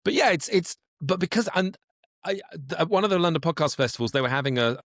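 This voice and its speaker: A man's voice: a little high, animated, fast talker, a bit bright, no room sound, studio quality. A man chatting casually as an interview guest, effusive and emphatic about his work.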